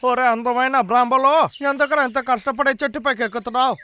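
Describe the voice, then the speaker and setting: A man voicing an excitable, scheming logger in a cartoon dub, theatrical and exclamatory, with music underneath. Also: very high-pitched, fast talker, smooth, muffled recording. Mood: sly. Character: scheming excitable male logger in a bear disguise. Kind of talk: animated cartoon dub acting.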